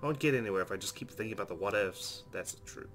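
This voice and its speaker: A man reading first-person visual novel narration aloud as a let's player, in an earnest, expressive storytelling style. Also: fairly low pitch, fast talker, a little hiss or hum. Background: soft game music.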